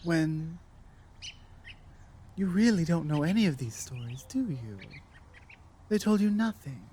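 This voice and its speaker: A woman playing a proud, ancient dragon scholar burdened by guilt in an ASMR audio drama, speaking with commanding deliberation and halting dramatic pauses. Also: low-pitched, lively intonation, warm tone, slight background noise. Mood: solemn, regretful.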